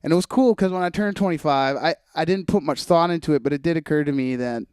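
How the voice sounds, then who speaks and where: This is a man in his mid-twenties with a clear, smooth voice, recorded dry and close. He talks like a podcast host in casual conversation. His delivery is wry and a little hesitant, as if working out each thought while he says it. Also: a little high, fast talker, darkish tone, no room sound.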